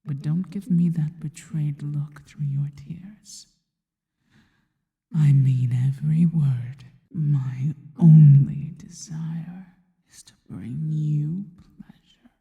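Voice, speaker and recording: A woman voicing a seductive, possessive yet devoted female spider monster in an ASMR roleplay, mostly in a slow, breathy, teasing whisper. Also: sits low, warm tone. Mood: coaxing, tender. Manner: slow, teasing, intimate delivery. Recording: long reverberant tail on the voice.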